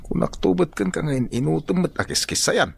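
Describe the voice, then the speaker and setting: A man's voice: a little high, big pitch swings, normal speed, vocal fry, husky, full character voice. An actor in a radio drama playing a practical middle-aged village father who grumbles about lending money. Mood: grumbling. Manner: grumbling and matter-of-fact.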